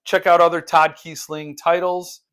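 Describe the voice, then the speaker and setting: A man hosting a book vlog, talking informally and haltingly as he thinks aloud. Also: on the high side, level tone, fairly bright tone, smooth. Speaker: host of a book unboxing channel. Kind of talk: casual unscripted book vlog chat.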